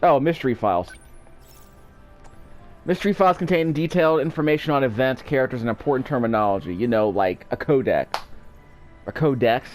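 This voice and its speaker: A man's voice: mid-range voice, animated, fairly quick, pauses often, soft background music. A man giving casual, offhand game commentary, wryly thinking aloud as he plays. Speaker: let's play commentator.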